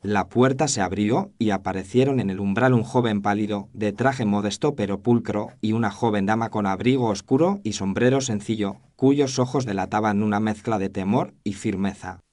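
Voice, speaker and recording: A man's voice: lowish voice, moderate pace, few pauses, a little mellow, dry recording. A man narrating a scripted first-person detective story in a formal, literary storytelling manner.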